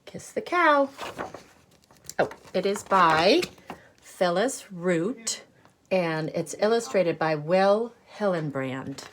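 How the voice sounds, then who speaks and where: Slow-paced woman reading to children. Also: fairly low pitch, lively intonation, slight background noise. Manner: explains words and checks in with simple questions. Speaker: teacher reading a picture book aloud to children. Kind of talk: read-aloud storytelling with explanatory asides.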